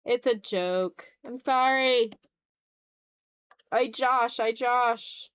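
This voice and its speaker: A woman's voice: a little high, extremely slow, a bit bright, smooth. Woman chatting casually on an art stream. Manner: slangy, playful, talks to herself and viewers. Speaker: streamer, digital artist. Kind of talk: casual livestream chat while drawing.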